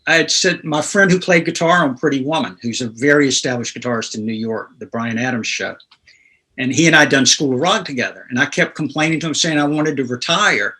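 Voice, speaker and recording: A man's voice: medium pitch, a little fast, sharp tone, husky, poor audio. A man in his sixties from North Carolina, a guest telling rambling anecdotes in a casual interview. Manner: rambling, anecdotal, laughs through stories. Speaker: guest guitarist.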